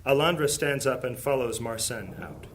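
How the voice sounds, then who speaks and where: A man's voice: mid-range voice, animated, moderate pace, noisy background, close to an everyday voice. A man acting as the solemn storyteller of an epic fantasy audio drama, reading scripted dramatic narration. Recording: music bed under the voice. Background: music.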